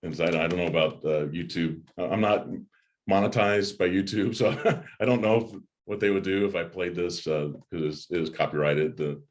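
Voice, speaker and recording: A man hosting a movie review chat in a casual, halting way, often repeating his words. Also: low voice. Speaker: host of a movie review channel. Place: home room with a desk, on a video call.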